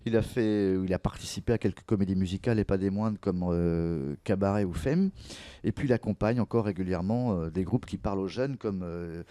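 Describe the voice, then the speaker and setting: A male radio host with a low, very mellow voice. He asks questions in a conversational interview manner, recorded close and dry. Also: brisk, no echo.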